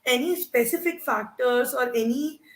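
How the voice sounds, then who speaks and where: A woman's voice: high-pitched, normal speed. A woman hosting and interviewing conversationally, recorded with an echoey, roomy sound. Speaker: workshop host.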